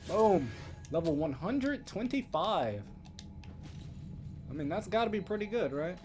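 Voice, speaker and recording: A streamer casually narrates gameplay in a flippant, offhand way. The delivery is unhurried but swings widely in pitch, with loud game music underneath. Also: very animated. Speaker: game livestreamer. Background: loud video game music.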